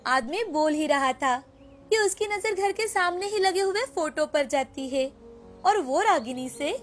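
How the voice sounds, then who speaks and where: A woman's voice: high voice, animated, measured pace, soft background music. A woman narrating a scripted moral story as storyteller.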